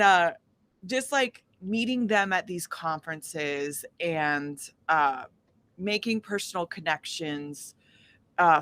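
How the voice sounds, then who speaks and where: A woman speaking casually as an interview guest, an independent musician who tells stories in an animated way and acts out quoted dialogue. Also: fairly low pitch, no echo. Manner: animated storytelling, often acting out quoted dialogue.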